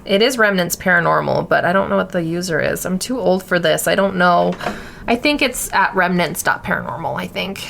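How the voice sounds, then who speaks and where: A woman's voice: on the low side, fairly quick, gravelly, fairly bright tone. Irreverent woman podcaster, faint background noise. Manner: irreverent, self-mocking, sweary. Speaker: podcast co-host. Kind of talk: casual chat.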